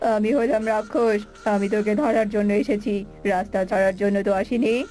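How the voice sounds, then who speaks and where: A bullying fairy-tale demon, played by a woman, with the voice dropped below her usual pitch. He gloats and threatens like a cartoon villain, with music playing behind him. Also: fairly even intonation, normal speed, dark tone, natural voice. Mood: menacing. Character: menacing male demon who kidnaps bird chicks.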